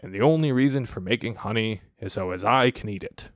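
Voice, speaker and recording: Man as a slow, ponderous storybook bear. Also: on the low side, dry recording, clean studio sound, lightly acted. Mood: pondering. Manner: ponderous, repetitive reasoning aloud. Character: slow-thinking, earnest toy bear who loves honey.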